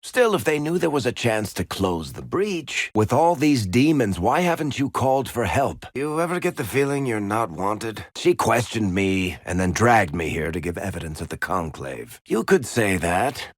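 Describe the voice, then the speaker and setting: A man's voice: lowish voice, very animated, moderate pace, hardly any pauses, no room sound, clean studio sound. A man playing a sardonic, teasing dwarf rogue and storyteller in a video game. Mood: teasing, sly. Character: wry dwarf rogue storyteller, shifty charming smuggler type. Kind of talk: video game character dialogue.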